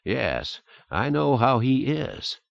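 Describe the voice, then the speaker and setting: A man voicing an elderly man speaking softly and calmly. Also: mid-range voice, slow, gravelly, no echo, dull audio, pitched above the performer's own voice, full character voice. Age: 70s. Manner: soft, calm. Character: elderly man, quietly enraged but gentle.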